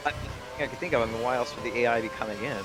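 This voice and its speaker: A man's voice: medium pitch, steady tone, rapid-fire, over loud music, low-quality recording. A young man casually commentating on a racing game, joking and swearing now and then. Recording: commentary over game engine noise. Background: racing game engine sounds.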